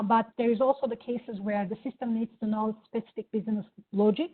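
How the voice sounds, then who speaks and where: A woman with a Turkish accent giving an enthusiastic live software demo, with many fillers. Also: fairly high pitch. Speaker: presenter. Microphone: video call. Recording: phone-like online meeting audio.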